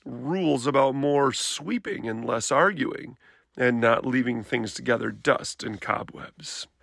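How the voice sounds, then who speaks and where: A man's voice: mid-range voice, measured pace, creaky, fairly bright tone, dry recording, studio quality, full character voice. A man voicing a firm, fed-up mother bear who lays down rules in a storybook.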